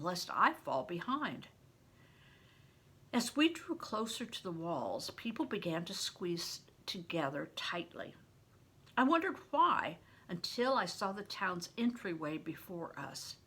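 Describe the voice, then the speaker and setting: A woman's voice: mid-range voice, pushed and bright, breathy edge. An older woman, a grandmother, reading a story aloud expressively, as if to children. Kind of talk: read-aloud audiobook narration with plain asides.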